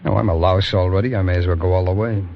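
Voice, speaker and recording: A man's voice: deep voice, fairly even intonation, quick pace. An actor playing a cynical, mercenary hard-boiled man in a vintage radio noir, sardonic and slangy. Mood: bitter. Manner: sardonic, slangy tough talk. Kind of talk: 1940s radio noir acting. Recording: muffled old radio recording.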